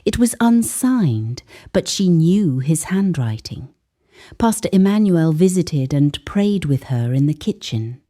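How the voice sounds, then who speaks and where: Slow, mellow woman storyteller. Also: sits low, big pitch swings. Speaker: storyteller, narrator. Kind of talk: scripted dramatic story narration.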